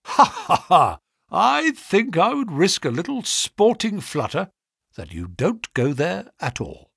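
A man voicing a brisk, flattering financial agent in a story, speaking sharply with grand, pushy salesmanship. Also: high-pitched, creaky, slightly rough, no echo, studio quality, voice pushed up, heavily acted. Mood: salesmanlike, grandiose. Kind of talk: audiobook character dialogue.

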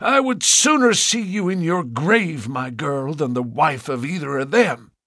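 A man reading an audiobook, voicing a gaunt old farmer who shouts in fury and defiance. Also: fairly high pitch, expressive, measured pace, husky, no echo, studio quality, pitched above the performer's own voice, full character voice. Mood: agitated. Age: older. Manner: shouting, sardonic.

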